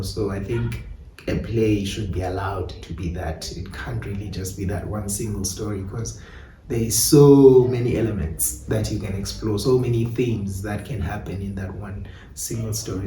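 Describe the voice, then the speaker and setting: A man's voice: lowish voice, mellow, far from the mic. A man with a South African accent giving reflective interview answers as a playwright and director. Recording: echoey room, off-mic, faint noise.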